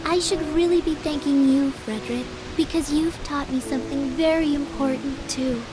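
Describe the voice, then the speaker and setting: A woman voicing a gentle, earnest young girl. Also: sits high, steady tone, normal speed, natural voice. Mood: tender. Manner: soft, sincere, reflective. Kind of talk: game cutscene voice acting. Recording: game audio with clear music behind.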